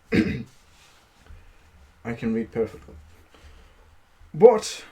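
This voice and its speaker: Slow, mellow man reading stories aloud. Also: medium pitch, expressive. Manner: halting, self-deprecating. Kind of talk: amateur live storytelling with casual asides.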